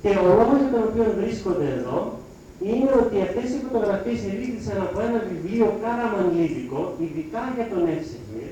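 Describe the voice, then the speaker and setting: A man giving a public lecture over slides, speaking haltingly in short phrases with pauses. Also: sits high, animated, warm tone. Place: lecture hall with audience. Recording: echoey hall, distant mic, faint noise.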